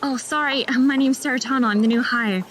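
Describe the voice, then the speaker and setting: A woman's voice: sits high, a little fast, fairly bright tone, a little hiss or hum. A woman playing a nervous new nurse. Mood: unsure. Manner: hesitant, polite. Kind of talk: audio drama acting.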